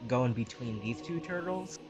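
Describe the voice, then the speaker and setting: A man's voice: medium pitch, lively intonation, moderate pace, over music. A man playing a tabletop roleplaying game, describing what his character does.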